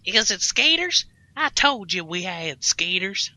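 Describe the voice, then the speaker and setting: A man voicing an oblivious, feisty old granny pony with a strained, high voice and a folksy rural drawl, in a comic fan fiction reading. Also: sing-song, unhurried, sharp tone, no room sound, full character voice. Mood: indignant. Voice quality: strained, high-pitched elderly voice.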